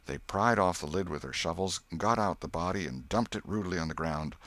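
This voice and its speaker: Deep, husky male storyteller. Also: fairly bright tone, dry recording. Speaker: audiobook narrator. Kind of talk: scripted storybook narration.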